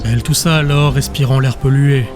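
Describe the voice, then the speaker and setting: A man's voice: mid-range voice, fairly even intonation, a little fast. A man narrating a scripted fantasy audiobook in a dramatic storytelling style. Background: music.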